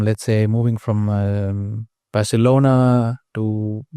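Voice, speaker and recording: Guy hosting a podcast, mellow tone, lots of ums, dry sound. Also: on the low side, clear, resonant tone, no echo. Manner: hesitant, many ums, asks questions. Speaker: podcast host. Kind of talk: casual interview hosting.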